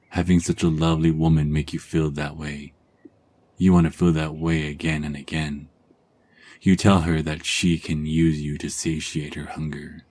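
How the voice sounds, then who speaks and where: A man tells a second-person story in an extremely deep voice with a husky edge. His delivery is calm and unhurried, meant to soothe, and it sinks into hushed whispers at the quietest moments. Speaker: narrator.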